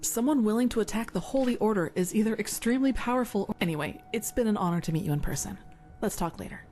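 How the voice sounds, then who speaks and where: A young novice woman voiced by an actress with a medium-pitched voice, polite and earnest in a fantasy game style. She sounds inquisitive and courteous, with clear music behind her. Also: quick pace, a little mellow, natural voice. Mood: curious, respectful. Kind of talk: fantasy video game voice acting.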